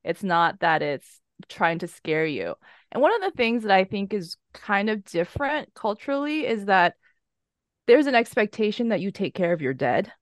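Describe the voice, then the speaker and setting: A woman's voice: mid-range voice, sing-song, normal speed, no room sound, clean studio sound. A woman and horror writer, searching for words at first and then telling a family story steadily in a casual roundtable chat. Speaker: podcast guest, horror writer.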